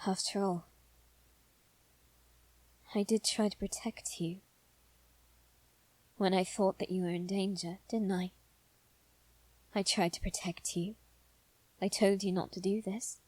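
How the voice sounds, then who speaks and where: A woman voicing a haughty but protective young nobleman boy in a scripted roleplay addressed to the listener, speaking hesitantly and gravely, often repeating the start of a phrase. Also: mid-range voice, steady tone, takes it slowly, pauses often, darkish tone, dry recording. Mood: somber, earnest.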